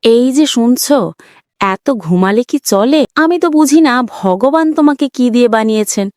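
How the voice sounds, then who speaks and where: A woman's voice: on the high side, lively intonation, moderate pace, darkish tone, no room sound, studio quality, lightly acted. A woman voicing a bossy, scolding farmer's wife in a cartoon story, firm and nagging. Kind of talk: dubbed cartoon dialogue.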